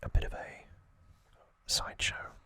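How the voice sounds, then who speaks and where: A man speaking in a breathy, unvoiced whisper, telling trivia in a soothing, halting ASMR style. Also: bright, forceful tone. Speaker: ASMR creator, host.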